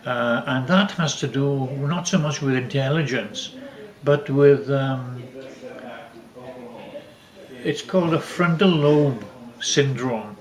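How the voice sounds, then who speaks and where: An older man telling family stories casually, rambling and halting with repeated false starts. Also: mid-range voice. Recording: echoey room.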